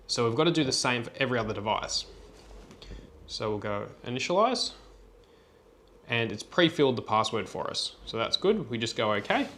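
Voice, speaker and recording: A man with a mid-pitched voice walks through a technical setup as a tutorial presenter. His delivery is plain and methodical, explained one step at a time. Faint background noise and room ambience sit behind the voice. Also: very animated, normal speed, bright, forceful tone. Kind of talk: software tutorial walkthrough, unscripted.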